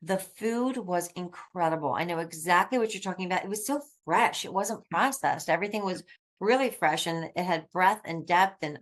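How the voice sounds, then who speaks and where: A woman's voice: lowish voice, moderate pace. A woman hosting a casual interview podcast, who listens and affirms her guest with encouraging remarks before asking questions. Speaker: podcast host.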